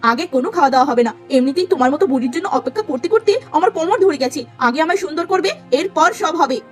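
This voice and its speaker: Woman doing a shrill, spiteful younger sister. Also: animated, quick pace, faint music behind. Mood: scornful. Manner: shrill, bossy, scornful.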